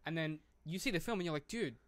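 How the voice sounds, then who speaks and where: A man's voice: on the high side, expressive, fast talker, fairly bright tone, no echo. A man co-hosting a casual film discussion podcast, prompting his partner and chipping in. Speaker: podcast co-host.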